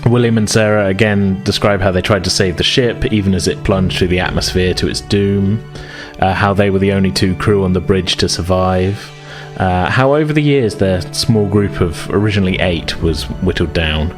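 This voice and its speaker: A man with a low voice narrates a tabletop roleplaying game as game master. His delivery is conversational and descriptive. Music plays faintly behind him. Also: normal speed.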